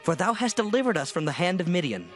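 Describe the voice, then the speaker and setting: A man's voice: sits high, very animated, fast talker, soft background music. An actor playing an eager, grateful Israelite man in a dramatized scripture reading, with fervent declamation.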